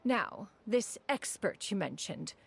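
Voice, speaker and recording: A refined, haughty immortal lady of a fantasy game. Her speech rises and falls in lively swings, with stately, archaic wording. Soft music plays behind her. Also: mid-range voice, slow, gravelly. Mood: composed, haughty. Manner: archaic, formal, pompous phrasing. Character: proud, refined immortal woman mentor, formal and haughty. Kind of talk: scripted video game voice acting.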